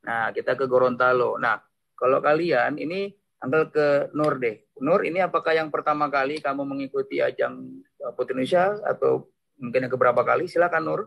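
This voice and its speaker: A man's voice: mid-range voice, quick pace, vocal fry, dull audio. A man hosting a casual video-call interview, friendly, switching between Indonesian and English as he asks questions. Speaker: host.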